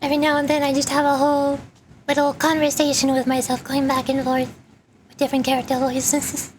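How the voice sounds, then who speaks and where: A woman's voice: high-pitched, completely flat delivery, fairly quick, a little hiss or hum, low-quality recording. A woman chatting casually and playfully as she livestreams a video game, rambling to herself and her viewers. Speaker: streamer, VTuber. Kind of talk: casual gameplay livestream chat.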